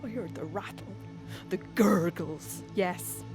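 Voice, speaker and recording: An actress playing an anguished, grief-crazed heroine in a Victorian melodrama, haunted and theatrical, swinging between hushed and wild delivery. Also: female voice, fairly low pitch, slow pace. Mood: frenzied, unhinged. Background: music.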